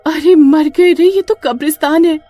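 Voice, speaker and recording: A woman voicing a frightened but brave young wife with shrill, dramatic exclamations. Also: normal speed, dark tone, soft background music, close to an everyday voice. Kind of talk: Hindi storybook voice acting.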